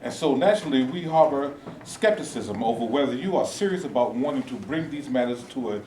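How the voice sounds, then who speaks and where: A man plays a measured activist spokesman who chooses formal, careful words. His voice is mid-range, heard from a distance in an echoey room with faint hiss. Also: mid-range voice, animated, darkish tone, lightly acted. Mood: firm. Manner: formal, deliberate. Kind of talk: staged play reading.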